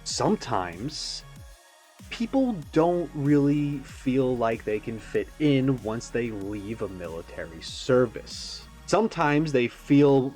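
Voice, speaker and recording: A man's voice: medium pitch, sing-song, slow pace, darkish tone, soft background music. A man giving casual, rambling analysis as a video host, musing and tentative as he thinks aloud. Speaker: YouTube reaction host.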